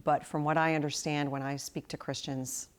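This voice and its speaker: A woman's voice: low voice, flat delivery, normal speed. An outspoken American woman, a talk radio host, speaking on air and in interviews.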